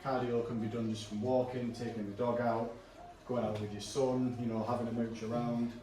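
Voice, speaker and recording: A man working as a personal trainer, calmly talking a client through stretches in a gym, his voice a little hoarse. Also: medium pitch, brisk, slight background noise. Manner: relaxed, reassuring instructions with frequent fillers. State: going hoarse. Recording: echoey room from a distance.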